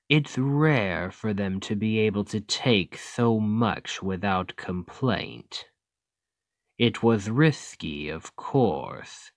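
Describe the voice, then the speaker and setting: Demon king villain for ASMR roleplay, male actor: low voice, very unhurried delivery, condescending, taunting, persuasive. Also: no echo. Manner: slow, deliberate, condescending, taunting. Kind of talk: ASMR roleplay, scripted acting addressed to listener.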